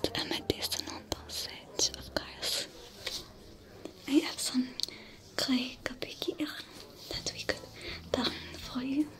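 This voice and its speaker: A woman voicing a female alien smuggler with a French accent, whispering gently in an ASMR roleplay. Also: high voice, fairly even intonation. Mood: soothing. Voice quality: whispery, soft-spoken. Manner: slow, soothing, caring.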